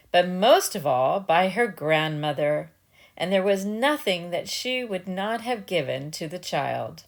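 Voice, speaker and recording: A woman's voice: fairly low pitch, vocal fry. A woman storyteller giving a dramatic storybook narration with casual asides.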